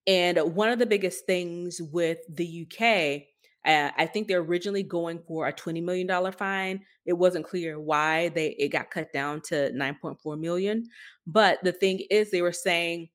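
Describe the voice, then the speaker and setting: Low-voiced woman co-hosting a podcast. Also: normal speed. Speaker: podcast co-host. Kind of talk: casual news discussion.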